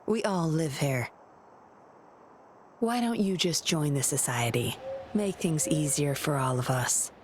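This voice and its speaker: A woman playing a confident, commanding young woman in a video game, cool, measured and teasing. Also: sits low, expressive, a little hiss or hum.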